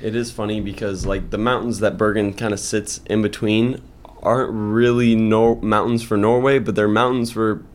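A man's voice: lowish voice, level tone, normal speed, hardly any pauses. A young man co-hosting a casual podcast, telling stories in a rambling way with many fillers. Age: young adult. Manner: rambling storyteller, filler-heavy. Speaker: podcast co-host. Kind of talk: casual chat.

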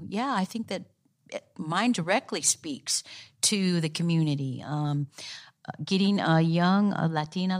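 Woman panelist, plain and earnest, slightly low voice. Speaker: panelist scholar. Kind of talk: academic panel discussion.

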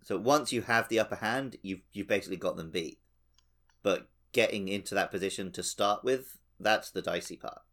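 A man's voice: on the low side, moderate pace, fairly bright tone. A man co-hosting a casual gaming podcast, explaining things in an analytical, step-by-step way. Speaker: podcast co-host. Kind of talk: casual video game discussion.

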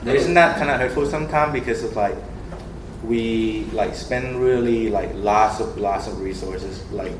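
A young man from Thailand with a Thai accent, whose first language is Thai, sharing personal experiences in a group discussion in an earnest, animated way with lots of fillers. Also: fairly low pitch, a little slow, noisy background. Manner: earnest, animated, laughs often, many fillers. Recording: roomy echo with clear background ambience.

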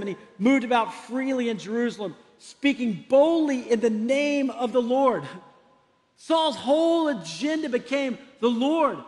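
A middle-aged man from Texas preaching a sermon as a pastor, emphatic and exhortative, repeating phrases for weight. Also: very high-pitched. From Texas, United States. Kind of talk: sermon to a congregation. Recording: long echoing hall reverb.

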